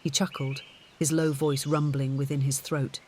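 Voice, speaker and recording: A man's voice: mid-range voice, level tone, normal speed, a little mellow, a little hiss or hum. A man reading scripted audiobook fiction as its narrator.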